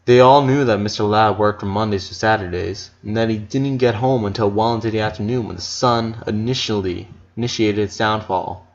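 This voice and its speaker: A man's voice: lowish voice, a little fast, few pauses. A man reading a story aloud as narrator, in a dramatic scripted style. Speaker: audiobook narrator. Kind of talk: dramatic fan fiction narration. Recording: slightly dull, muffled audio.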